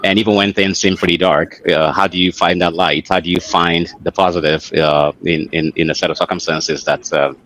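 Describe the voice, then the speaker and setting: Deep-voiced middle-aged Nigerian man. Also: fairly even intonation, fairly quick, few pauses, fairly bright tone, a little hiss or hum, poor audio. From Lagos, Nigeria. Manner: hesitant, reflective, repeats words as he searches. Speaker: interview guest, author and engineer. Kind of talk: conversational interview storytelling.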